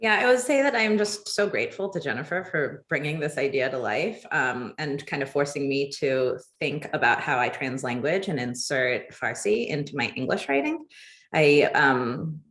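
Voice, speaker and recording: A woman educator from Pittsburgh speaks with a low voice, enthusiastic and emphatic. She talks over a video call. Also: animated, normal speed, fairly bright tone. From Pittsburgh, United States.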